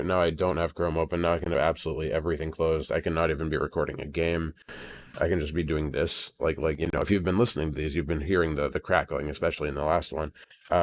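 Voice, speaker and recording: Very deep-voiced American man, hesitant rambling. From Maine, United States. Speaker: video creator recording an audio log. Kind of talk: casual unscripted solo audio diary. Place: at home at a computer. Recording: crackling home computer recording.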